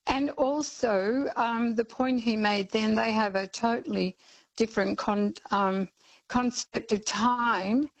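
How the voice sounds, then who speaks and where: A woman's voice: a little high, steady tone, slow, low-quality recording. An older Australian woman calling in to a radio talk show, speaking earnestly with frequent ums. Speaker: caller. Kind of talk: radio call-in chat.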